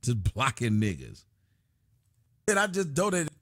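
A man's voice: mid-range voice, wildly swooping pitch, a little slow, a bit bright, no echo, studio quality. A man hosting a casual livestream from a small room at his desk, loosely reading donations and chat aloud with plenty of profanity. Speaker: livestream host.

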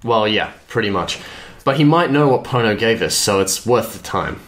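An actor playing an easygoing smuggler pilot and crew leader in an audio drama, casual and dry. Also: male voice, fairly low pitch, animated, normal speed, a bit bright. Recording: roomy with faint background noise.

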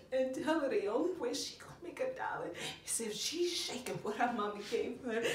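A woman's voice: lowish voice, close to an everyday voice. Echoey, wounded young mother, played by a woman. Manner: raw, emotional, breathy drops into near-whispers. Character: embittered, wounded young mother confronting her child's absent father. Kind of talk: dramatic stage monologue performance. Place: echoey room. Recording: echoey room, distant mic, faint noise.

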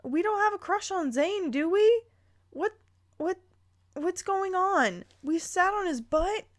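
A woman's voice: very high voice, expressive, takes it slowly. A woman hosting a dramatic read-aloud of fan fiction, breaking in with playful, incredulous commentary. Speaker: host reading and reacting to fan fiction.

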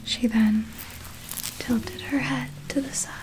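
A woman's voice: a little high, steady tone, takes it slowly, background noise. A woman voicing an ageless, eerily courteous vampire in a whispered ASMR roleplay, telling tales in formal, old-fashioned storyteller diction. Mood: hushed, reminiscing. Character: ageless vampire recounting past feedings, eerily courteous, possessive.

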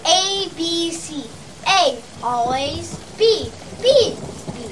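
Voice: very high-pitched, sing-song, drawn-out words, heavily acted. A child playing a ruthless, bullying sales boss, barking taunts emphatically in a theatrical puppet-parody monologue. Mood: contemptuous, hectoring. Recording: distant mic, faint background noise.

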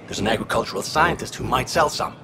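A man playing a businesslike, impatient supply pilot in a science fiction game, with a gravelly, terse delivery. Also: mid-range voice, expressive, quick pace, background noise. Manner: terse, matter-of-fact, insistent. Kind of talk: video game dialogue acting.

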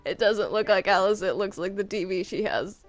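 A woman's voice: medium pitch, normal speed, soft background music. A woman chatting casually in a roleplay game, hesitant and often repeating her words. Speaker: player in a Minecraft roleplay.

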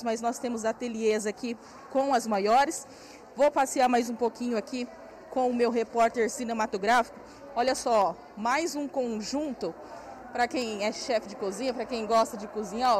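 A woman's voice: on the high side, darkish tone, a little hiss or hum. Chatty Brazilian woman reporting live. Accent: Brazilian Portuguese. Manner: chatty, walks and points while talking. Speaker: field reporter. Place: large indoor craft fair hall. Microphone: handheld station mic.

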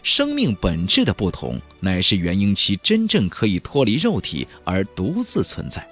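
Husky, very animated male audiobook narrator. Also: fairly low pitch, moderate pace, soft background music. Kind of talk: dramatic scripted fantasy narration.

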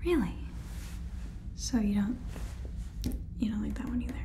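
A young woman playing a brisk, scheming space-farm businesswoman in an ASMR sitcom, chatty and salesy in whispers and soft speech, stammering over her words. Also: medium pitch, noisy background, close to an everyday voice. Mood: flustered. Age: young adult. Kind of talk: ASMR roleplay sitcom, whispered and softly spoken.

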